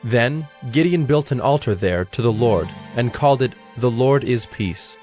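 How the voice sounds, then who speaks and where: A man narrating scripture from a script in a measured, storytelling manner. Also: medium pitch, expressive, a little mellow, faint music behind.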